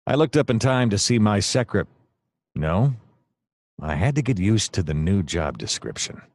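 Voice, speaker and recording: An actor playing a seedy, self-deluded private detective, narrating a comic noir radio play in a deadpan, melodramatic way. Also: male voice, on the low side, unhurried, heavily acted. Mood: wry, brooding. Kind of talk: hardboiled noir first-person narration, comedic radio play.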